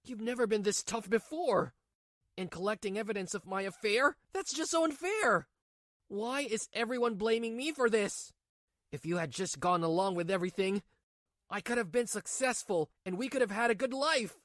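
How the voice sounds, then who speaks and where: A man's voice: high voice, expressive, normal speed, a bit bright, no echo, clean studio sound. A man voicing a whiny, cowardly cheating husband in a manga dub, stammering and pleading. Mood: indignant whining.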